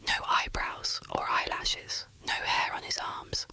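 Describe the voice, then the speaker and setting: Someone narrating an ASMR horror story in a whisper, with deliberate, dramatic delivery. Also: pushed and bright.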